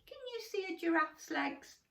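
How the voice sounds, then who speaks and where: A woman reading a bedtime story to young children, speaking to them directly in a gently encouraging, expressive way. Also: very high-pitched, moderate pace. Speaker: storybook reader. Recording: roomy echo, distant microphone.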